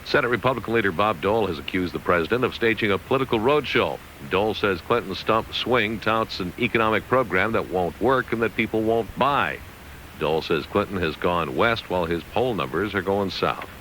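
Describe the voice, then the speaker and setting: American guy reading radio news, low forceful voice, really lively intonation, slight hiss. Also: fairly quick, low-quality recording.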